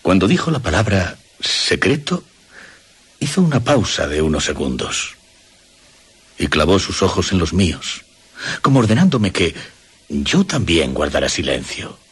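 Husky, deep grave gentleman father. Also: big pitch swings, unhurried, long pauses, exaggerated voice. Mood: grave, uneasy. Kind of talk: radio drama acting.

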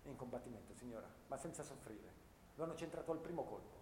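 A man's voice: expressive, quick pace, close to an everyday voice. A man playing a disillusioned, bookish young soldier, speaking earnestly and in literary phrases in a radio drama. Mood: subdued. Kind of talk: dramatic radio theatre monologue. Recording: echoey reverberant room, low rumble.

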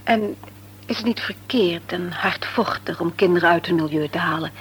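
A woman's voice: lowish voice, moderate pace, lightly acted. An actress playing a candid but doubtful married woman in a Dutch radio play, with an earnest, forthright manner. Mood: hesitant, doubtful. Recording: slightly noisy radio play recording.

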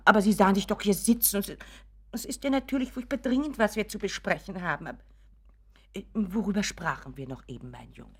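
Actress as husky, anxious doting mother. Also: medium pitch, fast talker, close to an everyday voice. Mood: anxious, coaxing. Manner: hesitant, flustered, pleading. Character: anxious, doting mother pleading with her grown son. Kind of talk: German radio drama acting.

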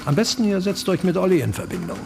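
An actor playing an impatient police squad boss handing out orders forcefully in a radio crime drama. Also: male voice, high voice, very animated, quick pace, vocal fry, over music, heavily acted. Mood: commanding.

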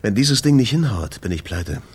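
An actor playing a troubled, exasperated jazz club owner in a radio play, talking in a confiding way. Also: male voice, fairly low pitch, sing-song, normal speed, darkish tone, breathy edge, exaggerated voice. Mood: worried, confiding.

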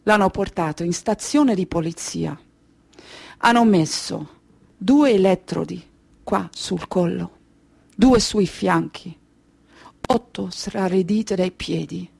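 An actress as a Kurdish refugee woman telling of her flight on stage. She speaks very slowly and deliberately, with weary, haunted feeling and pauses between short phrases. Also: on the low side, gravelly, a little mellow, slightly rough, exaggerated voice. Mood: grave, haunted. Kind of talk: slow dramatic theatrical monologue.

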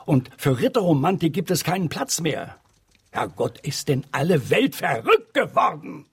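An actor playing a gruff, pompous middle-aged newspaper editor in a radio drama, raspy and easily exasperated. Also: male voice, on the high side, sing-song, normal speed, exaggerated voice. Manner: exasperated, pompous, opinionated, stammering in thought. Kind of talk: German radio drama acting.

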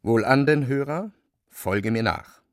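A man narrating a literary radio play as a storyteller, expressive, theatrical and deliberate, with a gravelly voice. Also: medium pitch, no echo, studio quality. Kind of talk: dramatic audiobook-style storytelling for radio play.

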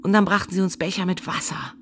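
Low-voiced, earnest woman survivor, played by an actress. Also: steady tone, fast talker, noisy background, close to an everyday voice. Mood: relieved, grateful. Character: desperate, devout female bus driver fleeing a disaster. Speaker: actress in a radio drama. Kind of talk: dramatic first-person monologue.

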